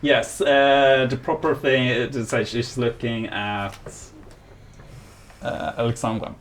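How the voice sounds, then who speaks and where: A man narrating an improvised tabletop roleplay as game master, casual, sweary and halting. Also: medium pitch, little pitch movement, a bit bright. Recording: faint background noise.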